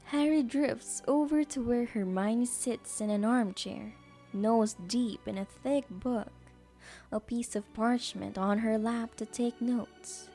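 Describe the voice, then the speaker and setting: Female storyteller, highish soft-toned voice, unhurried narration over faint music.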